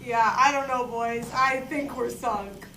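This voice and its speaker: A woman's voice: fairly high pitch, fairly even intonation, slow, sharp tone. A woman reading a character's lines at a comedy script table read. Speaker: reader of a character part. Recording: noisy echoey room, far from mic.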